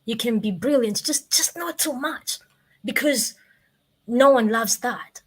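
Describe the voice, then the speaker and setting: A woman in her twenties with a Kenyan accent, telling her story like an inspirational speaker, with emphatic repetition and deliberate pauses. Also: on the high side, slightly rough, low-quality recording. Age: 20s. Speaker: guest, motivational speaker.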